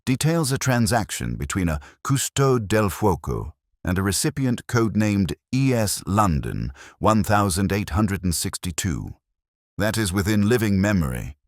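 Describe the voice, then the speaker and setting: A man voicing an intense, precise detective in an audio story, speaking in a deliberate, commanding, didactic way. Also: on the low side, sing-song, no echo, studio quality, voice pushed up. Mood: urgent, deducing.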